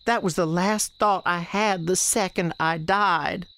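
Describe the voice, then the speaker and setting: A woman's voice: on the low side, steady tone, a little slow, fairly bright tone. Teen ghost nun girl in a radio play, plaintive n defiant, earnest folksy talk. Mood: wistful. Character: restless defiant ghost of a sixteen-year-old novice nun. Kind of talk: dramatic radio theatre dialogue.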